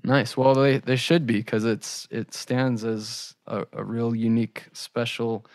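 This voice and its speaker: Middle-aged male host, mid-pitched, conversational interviewing, very dry sound. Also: level tone, a little slow, no room sound. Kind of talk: casual chat.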